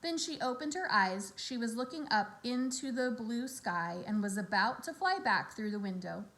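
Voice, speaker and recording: Bright, animated woman reading a storybook. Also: a little high, normal speed. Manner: animated, acts out character dialogue. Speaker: teacher reading a children's novel aloud. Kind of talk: expressive scripted storybook narration.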